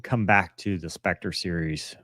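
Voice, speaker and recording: Very deep-voiced man chatting casually. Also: steady tone, measured pace, a bit bright, no echo, clean studio sound. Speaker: live stream host answering viewer messages; pilot and author. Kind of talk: casual chat, live Q&A.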